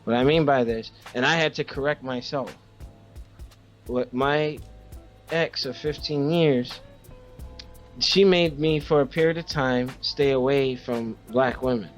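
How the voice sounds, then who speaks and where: A man talks casually as a podcast co-host, at a middling pitch. He tells personal stories in a halting way, doubling back on words to stress them. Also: soft background music. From Haiti.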